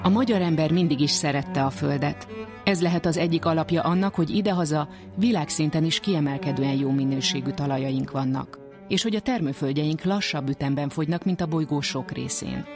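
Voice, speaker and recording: A woman's voice: low-pitched, music in the background. A woman presenting a radio programme with scripted narration.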